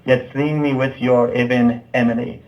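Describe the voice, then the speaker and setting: A man's voice: mid-range voice, little pitch movement, moderate pace, muffled recording, lightly acted. A man playing a wretched, lovelorn medieval knight in a puppet show, reciting old verse in a declamatory way. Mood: anguished lament.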